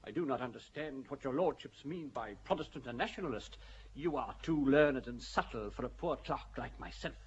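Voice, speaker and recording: An actor playing a blunt, bigoted English clerk in a radio play, speaking indignantly and self-righteously. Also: male voice, medium pitch, animated, moderate pace, dull audio, a little hiss or hum, lightly acted.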